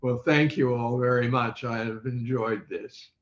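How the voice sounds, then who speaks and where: An older man speaking as an interview guest on a video call, halting and often searching for words. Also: mid-range voice, steady tone. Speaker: interview guest, former quiz show contestant. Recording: muffled, echoey video call audio.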